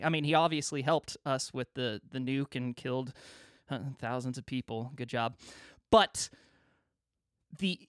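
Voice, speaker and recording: A man co-hosting a podcast in loose, joking banter. He wanders off into tangents and asides, his voice pitched a touch high. The sound is dry and close, with no room around it. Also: a bit bright, no echo. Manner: jokey, rambling, trails off into asides. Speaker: podcast co-host.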